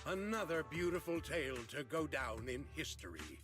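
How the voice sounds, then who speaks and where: A man's voice: a little high, animated, normal speed, fairly bright tone, soft background music. A man playing a grandiose storyteller narrating a martial arts tale in a game, dramatic and declamatory. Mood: grand, dramatic.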